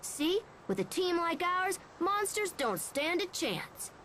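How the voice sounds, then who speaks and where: A woman's voice: very high voice, very animated, slow, pushed and bright, a little hiss or hum, full character voice. A woman voicing a brave, protective, cocky young boy hero in a video game, with an eager, plucky delivery. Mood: friendly, encouraging.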